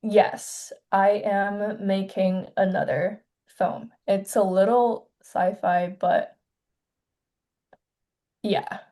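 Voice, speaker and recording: Soft-spoken young woman from China. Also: medium pitch, little pitch movement. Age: 20s. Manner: soft-spoken, hesitant, many pauses and restarts. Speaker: filmmaker guest. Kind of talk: casual interview.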